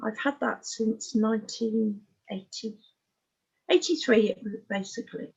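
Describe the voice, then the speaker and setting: A woman in her sixties with an English accent, telling her life story as an interview guest in a frank, halting, matter-of-fact way. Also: on the high side, gravelly, darkish tone, husky, highs cut off. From Oxfordshire, England. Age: 60s. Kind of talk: candid personal life story in conversation.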